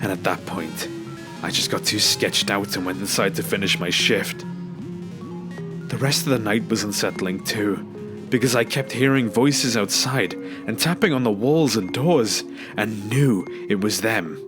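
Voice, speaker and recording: A man's voice: on the low side, unhurried. A man reading a scripted first-person scary story as a narrator. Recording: background music under the voice. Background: music.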